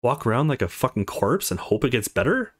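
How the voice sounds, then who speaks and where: A man voicing an intense, protective young man in a visual novel, earnest and forceful. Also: medium pitch, very animated, fairly quick. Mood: frustrated.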